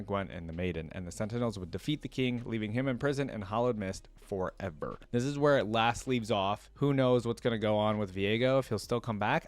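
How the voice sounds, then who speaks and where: A man's voice: fairly low pitch, dry recording. A man doing casual reaction commentary, reading lore aloud and adding informal, conversational asides. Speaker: reaction video creator.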